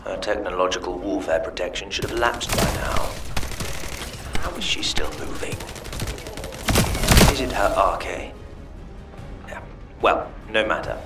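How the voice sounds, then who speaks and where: An actor playing a cold, gloating villain scientist in a video game, speaking in a measured, menacing, condescending way. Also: male voice, deep voice, distant mic. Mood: puzzled, calculating. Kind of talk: dramatic video game voice acting. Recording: roomy echo, loud music and gunfire.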